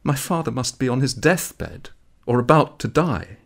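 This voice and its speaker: A man's voice: mid-range voice, very animated, a little slow, vocal fry, pitched above the performer's own voice, heavily acted. A man voicing an anxious, indignant son in a literary story, worried and muttering to himself. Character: anxious son visiting his sick father, fretful, indignant.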